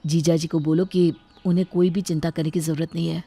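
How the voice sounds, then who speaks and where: A woman's voice: deep voice, level tone, brisk, mellow, no room sound. A woman voicing a principled, protective young man in a dramatized moral story. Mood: earnest, reassuring.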